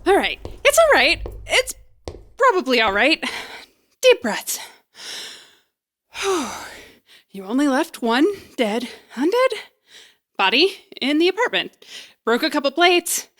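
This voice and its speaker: High-pitched, flustered wisecracking repairwoman. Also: wildly swooping pitch, slow pace, pauses often, a bit bright, exaggerated voice. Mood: shaken, self-soothing. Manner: rambling, sarcastic, nervous. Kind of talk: comedy horror audio drama acting. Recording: faint music behind.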